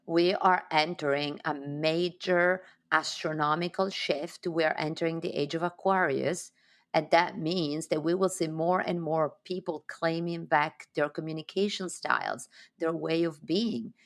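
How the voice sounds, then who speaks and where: A woman's voice: low voice, steady tone, a little slow. A woman from Sardinia with an Italian accent, telling her story as an enthusiastic podcast guest. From Sardinia, Italy. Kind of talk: interview conversation.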